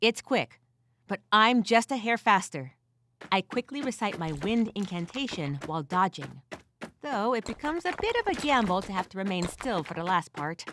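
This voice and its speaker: A woman's voice: mid-range voice, very animated, normal speed, noisy background, close to an everyday voice. A woman voicing a weary but gritty furred female adventurer who tells her story in the first person with wry asides, in an audio drama style. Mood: tense, urgent.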